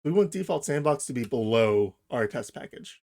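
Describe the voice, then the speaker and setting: A man hosting a software tutorial, explaining each step informally and thinking aloud as he goes. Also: mid-range voice, expressive, moderate pace. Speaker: tutorial host. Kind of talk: casual software tutorial narration.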